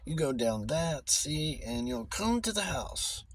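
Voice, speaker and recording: A man's voice: fairly high pitch, very animated, husky, pitched above the performer's own voice, full character voice. A man voicing a talkative old shopkeeper in an audiobook, with folksy, ungrammatical speech that stumbles and repeats itself. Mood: helpful. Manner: folksy, ungrammatical, halting repetitions. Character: blustery old rustic male shopkeeper, talkative and eager to sell. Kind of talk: audiobook character voice.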